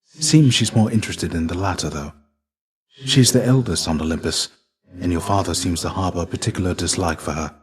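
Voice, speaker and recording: An actor playing the ghost of a fallen Greek warrior in a video game, a gentle, fatherly mentor with measured, formal, old-fashioned phrasing. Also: low-pitched, natural voice. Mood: cautioning. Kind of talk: video game character dialogue.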